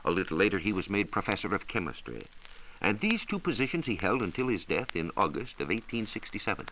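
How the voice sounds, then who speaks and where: Low-voiced, animated American 1940s radio man. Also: fast, low-quality recording. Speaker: science reporter and radio presenter. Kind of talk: 1940s scripted educational radio talk. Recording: hissy 1940s radio transcription recording.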